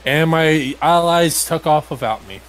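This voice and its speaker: A man's voice: on the high side, a little slow. A man playing a video game and commentating casually, with blunt, grumbling remarks. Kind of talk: casual gameplay commentary chat.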